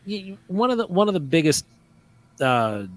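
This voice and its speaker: A man's voice: high-pitched, moderate pace. A man hosting a live paranormal talk show, chatting casually and animatedly, sometimes mocking critics. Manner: animated, mocking, trails off and restarts. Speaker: podcast host. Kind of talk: casual live radio chat.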